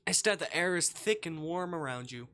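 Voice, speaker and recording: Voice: medium pitch, expressive, moderate pace, fairly bright tone. A streamer chatting casually and reading a visual novel aloud, rambling, jokey and easily sidetracked.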